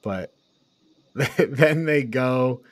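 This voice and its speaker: A man with an average-pitched voice talks through a story casually, as a podcast co-host would. He is informal and amused, and swears freely. The sound is dry, with very little room in it. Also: very slow, no room sound. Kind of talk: casual film recap chat.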